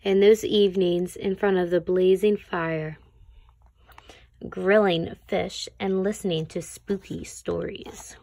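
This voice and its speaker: A woman reading a children's picture book aloud as a teacher would, with deliberate phrasing for young listeners. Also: on the low side, steady tone, creaky, dark tone. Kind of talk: read-aloud children's storybook narration.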